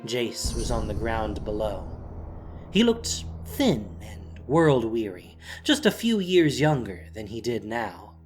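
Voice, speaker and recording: A man's voice: fairly low pitch, very animated, slow pace, faint music behind. A man reading scripted fantasy narration in a dramatic storytelling style, as the narrator of an audio drama.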